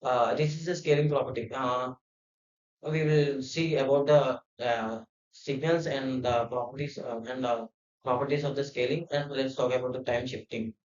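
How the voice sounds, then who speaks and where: A man's voice: medium pitch, steady tone, moderate pace. Hesitant man in a roomy, dull recording. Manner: hesitant, many fillers and restarts. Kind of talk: unscripted explanatory talk.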